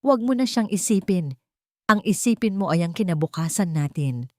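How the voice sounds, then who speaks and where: A woman's voice: on the low side, animated, normal speed, dry recording, studio quality, lightly acted. Woman voicing suave calculating Filipino tycoon suitor. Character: shrewd, powerful rich man, suave calculating suitor.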